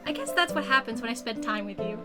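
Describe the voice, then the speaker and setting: Casting: female player as very high-voiced, polite, responsible schoolgirl, gently teasing, visual novel, music underneath. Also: animated, quick pace, lightly acted. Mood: amused, curious. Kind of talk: visual novel read-aloud.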